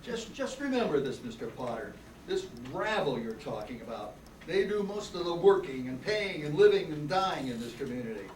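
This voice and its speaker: An impassioned young small-town fellow of a radio play, acted by an older man, high-pitched for a man and very animated. He talks in a folksy, emphatic way. The sound is echoey. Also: lightly acted. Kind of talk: amateur radio play acting. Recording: echoey room, distant mic, faint noise.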